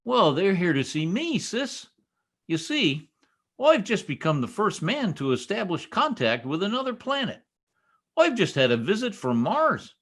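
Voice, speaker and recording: A man's voice: high voice, sing-song, measured pace, a bit bright, heavily acted. A man playing a boastful, bumbling, long-winded husband in folksy old-time radio comedy style. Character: blustering, long-winded, self-important husband, a tall-tale teller. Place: at home on a video call.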